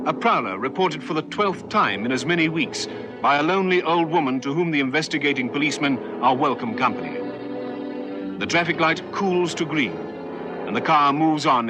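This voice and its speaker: A man narrating an old radio police drama from a script in a deliberate, atmospheric storytelling way. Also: a little high. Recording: vintage radio recording. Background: music and vehicle sounds.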